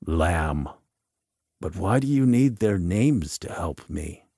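A man's voice: low-pitched, expressive, slow pace, vocal fry, mellow, husky, no room sound, clean studio sound, heavily acted. A man voicing a tormented, lovesick man in an audiobook, hesitant and drowsy, in a plaintive voice. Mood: weary, tormented. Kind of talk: audiobook character dialogue.

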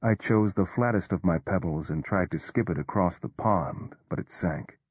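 A man with a deep, husky voice narrates a story in the first person. His delivery is measured and understated, never overplayed. The recording is close and dry. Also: darkish tone, dry recording.

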